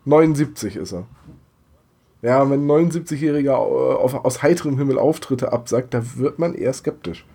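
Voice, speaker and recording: A man's voice: medium pitch, fairly quick, creaky, dark tone, slightly rough. A man from Bremen with a Bremen accent, chatting casually as a podcast host and telling stories with many hesitations. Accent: Bremen German. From Bremen, Germany. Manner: chatty, storytelling, frequent hesitations. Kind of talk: casual chat.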